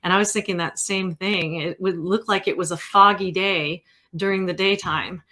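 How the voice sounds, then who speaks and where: A woman's voice: lowish voice, steady tone, normal speed, pushed and bright. A woman in her fifties from California with an American accent, hosting an interview and talking casually from personal memory. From California, United States. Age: 50s. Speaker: interviewer, host. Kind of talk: casual conversational reminiscing.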